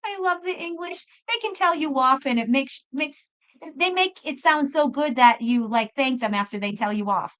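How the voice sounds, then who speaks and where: High-voiced woman on a dull lo-fi stream. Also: normal speed, a bit bright. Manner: emphatic, chatty, repeats phrases. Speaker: host and tarot reader. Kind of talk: live spiritual tarot reading, casual chat with viewers. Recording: dull lo-fi live stream audio.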